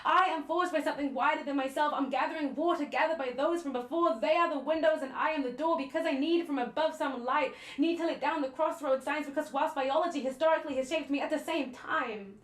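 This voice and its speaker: A young woman with a New Zealand accent performing slam poetry in a measured, deliberate, earnest way with dramatic pauses. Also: sits high. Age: young adult. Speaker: slam poet. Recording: roomy, echoing voice recording.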